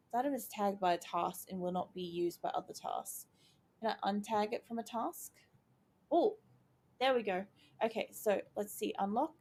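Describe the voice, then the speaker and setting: A woman playing a video game and chatting as she works it out, excited by each small success, her voice going croaky. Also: medium pitch, slight background noise. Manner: chatty, thinking aloud, easily excited. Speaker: gaming YouTuber. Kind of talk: casual let's-play commentary.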